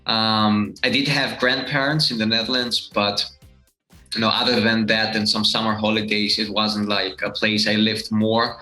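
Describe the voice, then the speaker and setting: A man from Greece in his thirties talks about his life in a relaxed, thoughtful way, pausing often with fillers. His voice sits fairly low but sounds bright and forceful. The room around him is echoey. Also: soft background music. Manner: relaxed, reflective, many fillers. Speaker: fitness coach and channel host. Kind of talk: casual interview answers.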